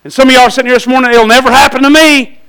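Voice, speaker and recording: A man's voice: extremely high pitch, steady tone, very fast, bright, forceful tone, smooth. A man with a Southern US accent preaching an evangelistic sermon in a folksy, exhortatory way, putting questions to his listeners and repeating phrases for emphasis.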